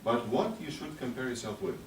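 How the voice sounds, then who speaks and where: Halting male conference speaker in echoey hall. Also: mid-range voice, lively intonation, recorded from a distance. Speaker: speaker addressing a youth conference. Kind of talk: motivational speech with audience questions. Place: large echoing hall at a conference ceremony. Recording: echoey hall from afar, background noise.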